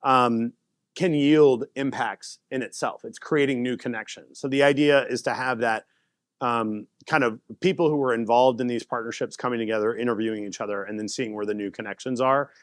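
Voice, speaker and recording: Man, conference presenter, casual and lively, dry close sound. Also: mid-range voice, moderate pace, dry recording. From United States. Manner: casual, animated, laughs often. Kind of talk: conference talk with Q&A.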